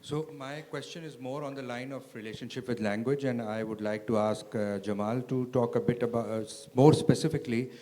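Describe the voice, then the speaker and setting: A man asking a question at a panel, measured and searching for words. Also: mid-range voice, steady tone, mellow.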